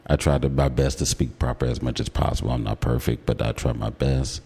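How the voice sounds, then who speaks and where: An American man with an extremely low, deep voice and a mellow tone. He talks casually and gives his opinions as a radio host, with care to sound out his words. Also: very animated, a little fast, gravelly, breathy edge. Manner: enunciates words carefully. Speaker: radio talk show host.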